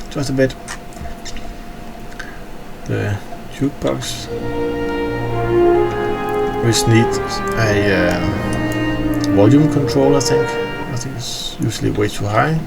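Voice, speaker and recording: A man's voice: medium pitch, very slow, vocal fry, long pauses, over loud music. A tired man from Denmark with a Danish accent, rambling with hesitant fillers as he tours his in-game hangar. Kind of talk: casual game walkthrough commentary.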